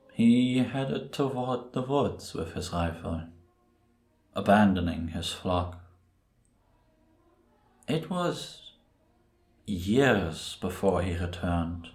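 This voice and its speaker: A man playing a grave, brooding priest who tells a dark tale in a solemn, ominous, deliberate way for a cinematic audio drama. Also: low voice, lively intonation, heavily acted. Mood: somber reminiscing. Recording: roomy echo on the voice.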